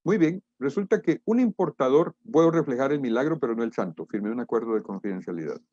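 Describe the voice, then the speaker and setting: A middle-aged man with a Guatemalan accent giving an explanatory webinar lecture in a deliberate, emphatic manner. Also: medium pitch, lively intonation, darkish tone, no room sound, dull audio. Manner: deliberate, emphatic, rhetorical questions. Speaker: international trade lecturer and expert.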